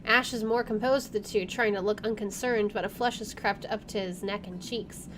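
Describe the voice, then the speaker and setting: A woman's voice: mid-range voice, fairly even intonation, moderate pace, background noise. A woman gaming streamer reading visual novel narration aloud and adding casual asides.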